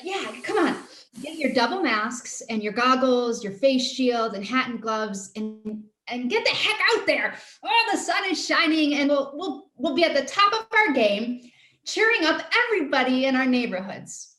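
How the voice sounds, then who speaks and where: Actress as a caring, pleading friend, highish voice, halting delivery, echoey room on a video call. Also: female voice, sing-song, pushed and bright, exaggerated voice. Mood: encouraging. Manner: halting, searching for words, sighing. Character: earnest, caring woman pleading with a cynical friend. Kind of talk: stage-play reading over video call. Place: roomy space, live Zoom call. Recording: roomy echo on video call.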